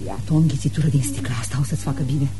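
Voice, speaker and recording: A woman's voice: rumbling low, music in the background. An actress playing a hard-drinking, domineering middle-aged mother in a radio play, with a scolding, theatrical manner. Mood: wry, offhand. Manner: scolding, theatrical, swings from biting to offhand. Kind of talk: Romanian radio theatre acting.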